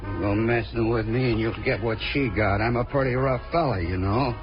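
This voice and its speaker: An actor playing a boastful, belligerent American drunk in a 1950s radio crime drama, slurring and blustering out threats. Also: male voice, on the low side, normal speed, lightly acted. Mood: menacing, boastful. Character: belligerent, boastful, drunken short stocky male thug. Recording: dull vintage radio recording with music.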